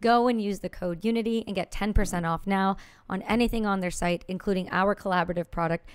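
A woman reading scripted sponsor ads with a brisk, punchy delivery. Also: medium pitch, fairly even intonation, clean tone, no echo, studio quality. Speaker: ad reader.

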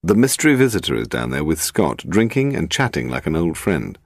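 A man's voice: rumbling low, big pitch swings, brisk, no room sound, exaggerated voice. A man voicing a suave husband and amateur sleuth with a dry, teasing manner. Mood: casual.

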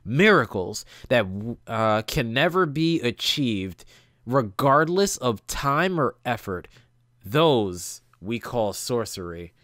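Young man with lively, swinging intonation. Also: medium pitch, slow, no echo, studio quality. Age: young adult. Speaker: gaming channel host. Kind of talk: reading visual novel text aloud with casual reactions. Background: game music.

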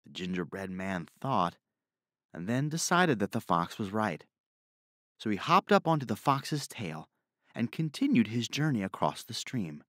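A man's voice: fairly low pitch, very animated, measured pace, fairly bright tone, no room sound, studio quality. A man reading a children's storybook aloud as the storyteller.

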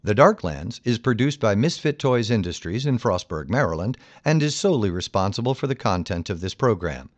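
A man's voice: fairly low pitch, very animated, fairly quick, hardly any pauses. A man announcer reading a scripted sponsor and legal announcement.

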